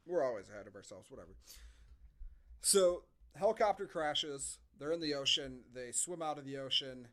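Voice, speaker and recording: A man's voice: medium pitch, animated, moderate pace, fairly bright tone. A man hosting a podcast, chatting casually about movies with sarcastic, exasperated asides. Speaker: podcast host. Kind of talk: casual movie review banter.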